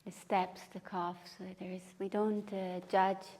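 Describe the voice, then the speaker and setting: A woman leading a guided meditation as a teacher, speaking gently and without hurry, leaving long pauses. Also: medium pitch, flat delivery. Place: room at a retreat.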